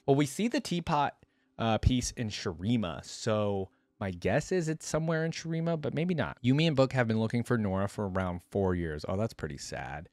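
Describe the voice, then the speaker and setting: A young man giving casual reaction commentary, reading aloud and musing with expressive exclamations into a close desk microphone. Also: lowish voice, moderate pace, no echo. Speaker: reaction video host. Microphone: close desktop microphone.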